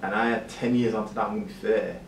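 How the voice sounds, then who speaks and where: A young man in his twenties with a British accent, talking casually as a podcast guest and repeating his points in a relaxed way. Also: lowish voice, racing, gravelly, a crowd in the background. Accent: British English. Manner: laid-back, repeats himself, says "innit". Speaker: guest, cryotherapy practitioner. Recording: echoey room, mic at a distance, faint noise.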